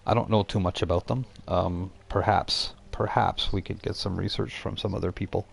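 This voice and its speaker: A man voicing a worldly, protective male mentor who runs a pub in a tabletop game, blunt and deliberate. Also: sits low, steady tone, creaky, husky, full character voice. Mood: grave, pondering.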